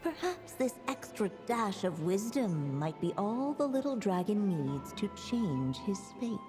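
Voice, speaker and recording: A woman playing a solemn, gentle witch who narrates a fairy tale in a video game, measured and storytelling. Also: mid-range voice, big pitch swings. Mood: solemn, tender. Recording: long reverb under clear music.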